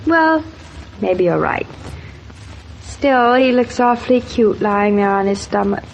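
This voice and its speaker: A woman acting a fond, gently needling wife and new mother in an old-time radio soap opera. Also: medium pitch, expressive, slow, gravelly, a little hiss or hum, low-quality recording.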